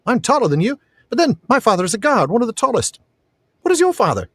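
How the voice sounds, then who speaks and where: A man's voice: very high-pitched, very animated, fast talker, no room sound, studio quality, pitched above the performer's own voice, heavily acted. A man reading a novel aloud, voicing a proud, eager, inquisitive ten-year-old boy. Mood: curious, boastful. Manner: boastful, inquisitive.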